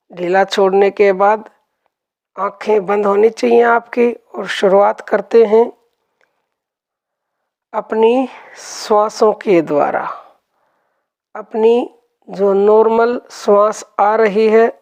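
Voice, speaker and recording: A woman guides a meditation session in a medium-pitched voice. She speaks very slowly and calmly, giving step-by-step instructions. Phrases come back in gentle repetition. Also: muffled recording.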